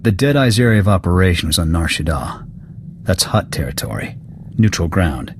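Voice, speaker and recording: A gruff army sniper type for a video game, low voice, blunt short lines, bit of background noise. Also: male voice, big pitch swings, normal speed. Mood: grim, troubled. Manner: terse, military, short blunt sentences. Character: gruff, terse male soldier, loyal ex-sniper squadmate. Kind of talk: scripted video game cutscene acting.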